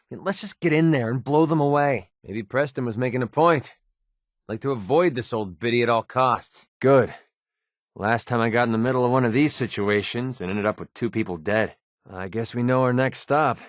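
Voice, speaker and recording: A man's voice: mid-range voice, no room sound, studio quality, close to an everyday voice. An American man playing a cynical, wisecracking young mercenary in a video game, with a casual, sarcastic, slangy delivery. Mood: dry, determined. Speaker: video game companion character.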